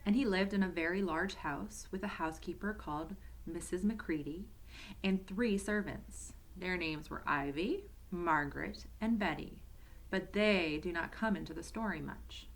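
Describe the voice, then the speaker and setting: A woman's voice: lowish voice, normal speed, low-quality recording. A woman reading a children's story aloud as a storyteller, expressively and addressed to young listeners.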